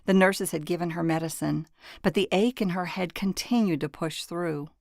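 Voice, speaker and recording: A woman's voice: on the low side, moderate pace, vocal fry, darkish tone, no echo, clean studio sound. A woman reading scripted audiobook narration from a novel.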